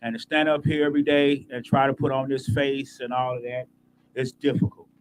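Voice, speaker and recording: A man's voice: medium pitch, little pitch movement, brisk, a little hiss or hum, low-quality recording. A middle-aged man making a plain-spoken public statement outdoors, as a bereaved father.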